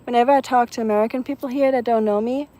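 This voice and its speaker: A woman from Germany with a German accent, chatting casually and softly outdoors, with many ums. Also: on the high side, fairly even intonation, rapid-fire. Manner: soft-spoken, chatty, many ums, laughs lightly. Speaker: vlogger. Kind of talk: casual live chat outdoors. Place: outdoors in the evening, nature sounds around.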